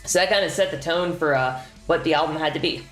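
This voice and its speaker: Young band guy rambling excitedly about his year, echoey room, faint music behind. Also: fairly high pitch, quick pace. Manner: rambling and excited, lots of fillers and slang. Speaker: band member giving a year-end update. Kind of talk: casual chat.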